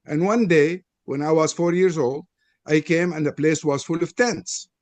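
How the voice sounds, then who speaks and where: A man's voice: a little high, normal speed, darkish tone, dry recording. An older man from Lebanon with a Lebanese accent, Arabic his first language, giving a frank, personal opening statement at an interfaith panel. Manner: earnest, frank, personal storytelling. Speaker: panel presenter.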